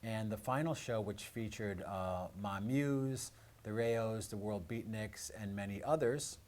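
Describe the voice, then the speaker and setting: A man with a fairly low voice hosts a live show. He speaks without a script, introducing things in a halting way. He often pauses on filler words as he goes. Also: a little mellow. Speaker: radio and live stream show host.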